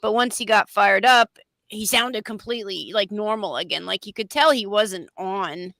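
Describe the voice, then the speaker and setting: Woman w a slight stuffy cold, nasally, bright voice, close dry sound, blunt casual chat. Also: mid-range voice, moderate pace, gravelly, dry recording. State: coming down with a cold. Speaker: panelist on a livestream open panel.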